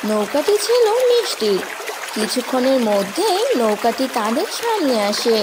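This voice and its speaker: A woman's voice: on the high side, very animated, normal speed, distant mic, noisy background. A woman reading scripted narration for a cartoon story.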